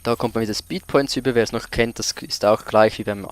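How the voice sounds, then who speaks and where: A man's voice: on the low side, steady tone, a little fast, smooth. A man doing casual let's play commentary as host, with a somewhat hesitant delivery, searching for his words.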